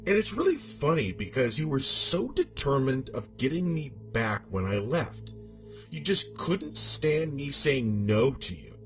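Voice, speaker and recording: A man's voice: medium pitch, very animated, unhurried, soft background music, voice pushed up. A man voicing a scorned, vengeful demonic ex-wife in a horror monologue, haughty, bitter and theatrical. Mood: scornful.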